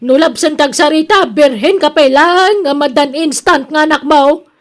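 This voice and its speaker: An actress playing a domineering mother of a grown son, scolding and nagging loudly in radio drama style. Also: high voice, moderate pace, lightly acted. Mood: scolding, disapproving. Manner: loud, nagging.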